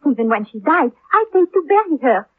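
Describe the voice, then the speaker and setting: A woman's voice: sits high, sing-song, brisk, no room sound. A woman playing a defensive, self-pitying European swindler in a 1950s radio drama, with formal, careful phrasing. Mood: pleading, defensive. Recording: thin, narrow-band vintage 1950s radio.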